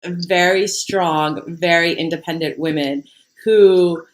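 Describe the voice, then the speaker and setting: A woman's voice: on the low side, fairly even intonation, slow, low-quality recording. A young woman co-founder talking frankly and passionately in casual conversation, swearing now and then. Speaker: co-founder of the platform.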